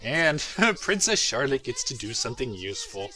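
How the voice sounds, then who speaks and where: A man's voice: medium pitch, very animated, moderate pace, pushed and bright. A man giving casual, unscripted commentary as the creator of a fan parody series, self-deprecating and irreverent, swearing freely and often chuckling as he talks.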